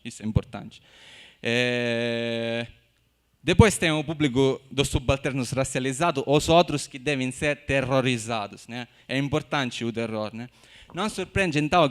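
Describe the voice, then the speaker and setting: A man from Sicily with a Sicilian Italian accent, lecturing in an academic talk. He pauses and restarts often and tags his phrases with questions. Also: mid-range voice, fairly bright tone. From Sicily, Italy. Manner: halting, many "né?" tags and restarts. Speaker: university lecturer. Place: university lecture room.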